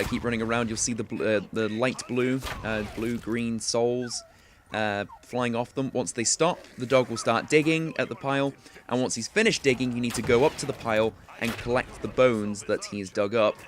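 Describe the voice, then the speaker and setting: A man's voice: lowish voice, slight background noise. A young man hosting a video game walkthrough, talking casually and chattily with plenty of filler words. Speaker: gaming tutorial host.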